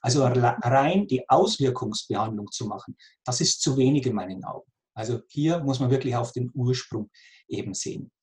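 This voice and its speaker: A man in his forties with a Lower Bavarian accent, explaining his work conversationally and earnestly as an interview guest, repeating phrases for emphasis. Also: animated, moderate pace, a little mellow. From Lower Bavaria, Germany. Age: 40s.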